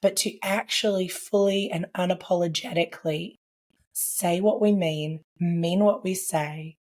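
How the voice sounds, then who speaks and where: Woman, low and hoarse from laryngitis, earnest reflective podcast guest. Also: a little mellow. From Australia. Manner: earnest, reflective, with frequent "like" and "I guess". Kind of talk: conversational podcast interview.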